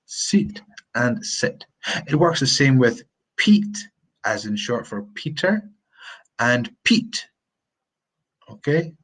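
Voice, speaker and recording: Slow, chatty Scottish man teaching English. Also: medium pitch, sing-song, fairly bright tone, husky, poor audio. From Scotland. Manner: chatty, rambling, repeats words, demonstrates sounds slowly. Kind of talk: live-streamed pronunciation lesson. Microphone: phone or webcam on a live stream.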